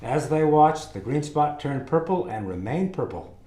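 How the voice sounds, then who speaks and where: A man acting as the measured, ominous narrator of a science thriller radio play, reading from a script. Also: fairly high pitch, very animated, warm tone, soft background music. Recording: echoey room.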